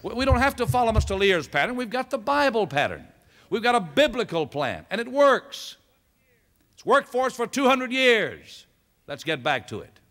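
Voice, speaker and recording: Bright-voiced preacher in a big hall. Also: male voice, sing-song, moderate pace. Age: middle-aged. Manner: emphatic preaching, rising to loud exhortation then dropping low and soft. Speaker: pastor. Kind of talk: sermon. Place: large church sanctuary with choir behind. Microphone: stand mic at pulpit. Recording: long reverb of a large hall.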